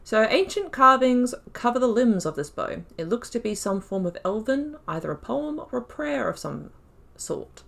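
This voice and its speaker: A woman giving casual commentary while playing a game, thinking aloud and breaking into excited exclamations. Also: a little high, moderate pace, creaky. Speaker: let's play host.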